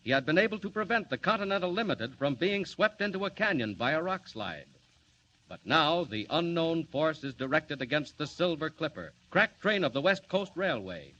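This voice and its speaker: A man's voice: fairly high pitch, big pitch swings, moderate pace, pushed and bright, a little hiss or hum. A man announcing a 1940s radio serial with a dramatic, emphatic delivery. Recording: dull lo-fi old radio recording.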